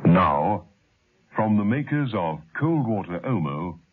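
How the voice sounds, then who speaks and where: A man's voice: fairly low pitch, very animated, slow pace, husky. A man announcing a vintage radio show and its sponsor in a theatrical announcer style. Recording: tinny old radio over loud music.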